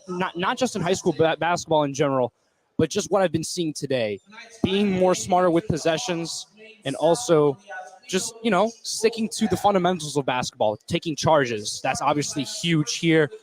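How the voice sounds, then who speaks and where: A man with a fairly high voice gives casual live sports analysis as a color commentator. He speaks haltingly, doubling back on words while forming his thoughts. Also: poor audio. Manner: halting, repeats words as he thinks aloud.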